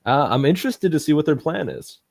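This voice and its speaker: A man doing live esports commentary in a measured, analytical way. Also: on the high side, lively intonation, creaky, a little mellow, no echo, clean studio sound. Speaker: esports caster.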